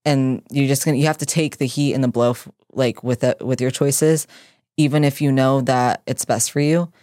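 A man's voice: medium pitch, fairly even intonation, normal speed, darkish tone, no room sound, studio quality. Laid-back slangy young man. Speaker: podcast co-host. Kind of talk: casual podcast chat.

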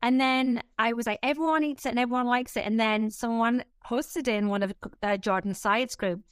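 A woman's voice: a little high, steady tone, moderate pace, clear, resonant tone, dry recording, clean studio sound. A woman in her fifties with a Northern English accent tinged with North American, chatting casually and telling stories in a blunt, jokey, sweary way as a podcast guest. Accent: Northern English with some North American influence. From northeast of England. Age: 50s. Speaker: podcast guest, fitness expert and author. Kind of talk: casual chat, telling anecdotes.

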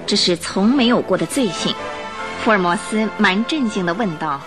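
A woman's voice: medium pitch, expressive, moderate pace, over music. A woman giving dramatic scripted narration as the storyteller of a radio drama.

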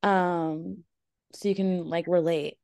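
Raspy young woman co-host, lowish pitch, relaxed rambling delivery, dry sound. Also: slow pace, no room sound. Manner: relaxed, rambling, laughs often. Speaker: podcast co-host. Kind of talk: casual chat.

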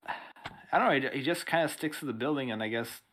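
A man's voice: mid-range voice, brisk, a bit bright, a little hiss or hum, rough recording. A man co-hosting a casual podcast, recapping a comic book with dry jokes. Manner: laid-back, recaps plot with dry jokes. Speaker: podcast co-host.

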